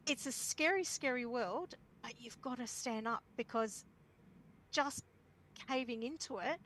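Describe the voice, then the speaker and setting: A woman's voice: high voice, a bit bright, a little hiss or hum, poor audio. A woman with an Australian accent speaking as a guest parent at an online forum, earnest and emphatic, repeating phrases for stress. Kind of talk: impassioned forum talk.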